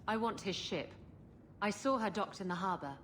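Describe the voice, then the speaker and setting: A woman's voice: mid-range voice, moderate pace, fairly bright tone, a little hiss or hum, natural voice. A woman playing a roguish, sly pirate captain in a video game, wry, flippant and theatrical. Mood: brazen, bargaining.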